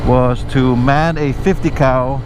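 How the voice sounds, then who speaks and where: A man's voice: medium pitch, fairly even intonation, slow. A man telling a story in a casual, conversational way, swearing freely and often checking in with the listener, as he vlogs from a motorcycle. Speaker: motovlogger and army veteran telling stories. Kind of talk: casual rambling storytelling to camera while riding. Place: outdoors, riding a motorcycle in traffic. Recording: engine and traffic noise behind voice. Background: motorbike engine and road traffic.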